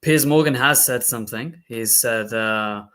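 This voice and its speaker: A man's voice: mid-range voice, unhurried, pushed and bright. A man co-hosting a live stream, giving casual updates and repeating words. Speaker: co-host.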